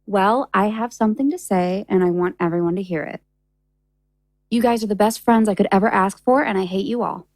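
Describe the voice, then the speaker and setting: A woman's voice: medium pitch, brisk, a little mellow, no room sound, lightly acted, rough recording. An actress playing a weary, exasperated young woman with a dry, sarcastic manner in an audio drama. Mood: teasing, fond.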